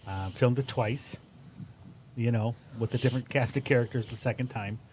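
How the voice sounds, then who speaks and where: A man's voice: mid-range voice, steady tone, normal speed, darkish tone, a little hiss or hum. A middle-aged man chatting casually as a guest writer, with a dry, self-deprecating manner. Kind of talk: casual chat.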